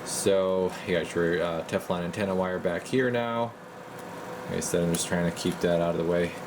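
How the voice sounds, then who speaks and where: Casting: male repair tech, deep voice, informal and rambling, recorded over clear background noise. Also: steady tone, normal speed. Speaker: radio repair technician and video host. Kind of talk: casual hands-on repair walkthrough. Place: indoor repair workbench. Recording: noisy room with clear background hum.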